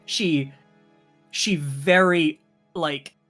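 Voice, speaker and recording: A woman with a low voice chats as a tabletop player. She feels her way through what her character does, hesitant and casual, over clear background music. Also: very slow, a bit bright. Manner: hesitant, thinking aloud. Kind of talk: casual game chat, describing character actions.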